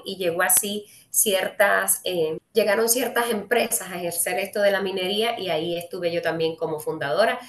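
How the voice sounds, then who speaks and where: A woman with a Cuban Spanish accent hosts a live online trading webinar, greeting her viewers warmly and speaking straight to them. Her voice sits fairly low, and she fills her pauses with frequent "eh"s. The sound has a noticeable echo from the room around her computer mic. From Cuba. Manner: friendly, addresses viewers directly, frequent "eh" fillers.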